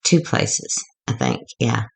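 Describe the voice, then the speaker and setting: A woman going hoarse as she gives a rambling, chatty, self-deprecating walkthrough of a craft project. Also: slow, rough recording. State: getting hoarse. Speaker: craft tutorial maker.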